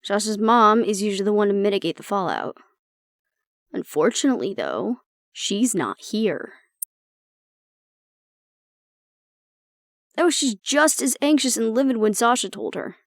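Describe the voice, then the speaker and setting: A woman performs an exasperated female agent in an intimate audio drama. Her voice is creaky and mid-pitched, recorded close and dry. She talks bluntly and sardonically, as if confiding in one person. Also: sing-song, normal speed, no echo. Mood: worried, annoyed. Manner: blunt, sardonic, conversational, swearing. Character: exasperated woman agent venting about her stubborn, disapproving father. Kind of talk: audio roleplay acting, intimate monologue to the listener.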